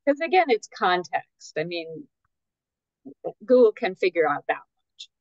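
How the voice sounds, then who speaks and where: Measured woman on dull, dry audio. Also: fairly low pitch, very animated, no room sound. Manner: measured, tentative, qualifies her points. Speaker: guest expert, editor. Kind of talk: conversational interview answers.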